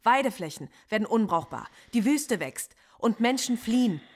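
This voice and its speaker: An actress playing a defiant middle-aged philosophy professor under interrogation in a German radio play, measured and coolly articulate. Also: female voice, on the high side, lively intonation, a little mellow. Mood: bitter, lecturing.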